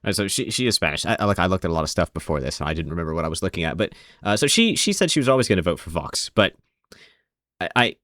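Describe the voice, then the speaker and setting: Male commentator, low-ish voice, dry close sound, rambling and sarcastic. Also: big pitch swings, very fast, a bit bright, no room sound. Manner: rambling, sarcastic, many restarts. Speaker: video commentator. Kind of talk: casual commentary over video clips.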